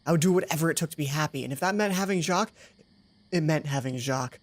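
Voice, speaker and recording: A woman's voice: low-pitched, fast. A woman hosting a let's play, reading game narration aloud with casual asides. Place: closed hot room at home. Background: music.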